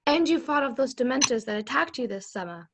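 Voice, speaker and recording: Woman acting as high-voiced, assertive teenage schoolgirl. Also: expressive, fairly quick, over music. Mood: admiring. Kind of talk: amateur online table read.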